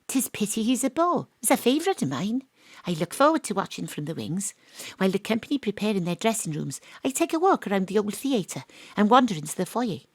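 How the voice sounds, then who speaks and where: A cocky lady detective telling her case, deadpan and arch, audio drama, very dry sound. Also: mid-range voice, brisk, dry recording. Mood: wry, confiding. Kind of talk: audio drama first-person narration.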